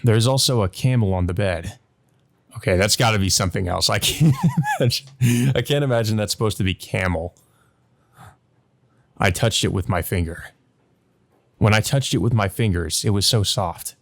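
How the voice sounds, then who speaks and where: A man's voice: fairly low pitch, moderate pace, long pauses. A man narrating a visual novel as a let's play host, reading aloud and adding hesitant commentary of his own.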